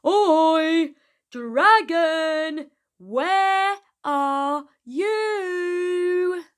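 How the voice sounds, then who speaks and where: A rowdy storybook Viking, voiced by a woman, calling out loudly and high. The words are stretched slowly and swoop around, like someone hard to impress. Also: animated, full character voice. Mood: shouting, eager. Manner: loud, stretched, sing-out delivery.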